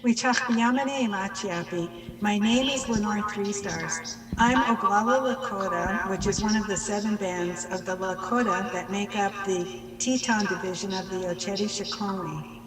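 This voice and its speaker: Older woman speaker, measured and reverent, heard from a distance in a big echoing hall with a crowd audible. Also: medium pitch. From Pine Ridge Reservation, United States. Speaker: workshop speaker leading prayer. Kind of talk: slow prayer and self-introduction.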